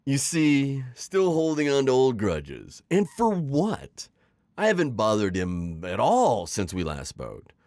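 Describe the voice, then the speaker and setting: A man's voice: mid-range voice, wildly swooping pitch, slow pace, a little mellow, no echo, clean studio sound. A man playing a sly, teasing, middle-aged retired magical villain in an ASMR roleplay, theatrical and coaxing in his delivery. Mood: sly, amused. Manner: theatrical, teasing, manipulative coaxing with sighs and chuckles. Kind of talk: scripted ASMR roleplay acting.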